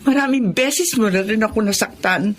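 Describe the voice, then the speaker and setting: A Filipino woman playing a hurt but resolute wife in a radio drama, speaking in a measured, sorrowful, firm way. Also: on the high side, a little hiss or hum, lightly acted. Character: wounded but resolute wife and mother, weary.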